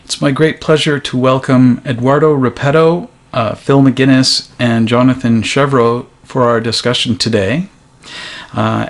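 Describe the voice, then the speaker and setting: A male host with an ordinary middle pitch reads through guest introductions. His delivery is measured, with frequent small hesitations. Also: fairly bright tone. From Canada.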